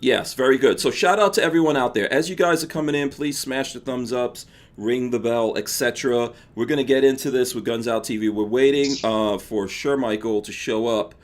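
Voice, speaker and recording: This is a man with a New York accent who hosts a live podcast, talking in an easygoing, joking way. He laughs often. His voice sits at a middle pitch for a man. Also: fairly quick, hardly any pauses. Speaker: podcast host. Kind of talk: casual livestream chat.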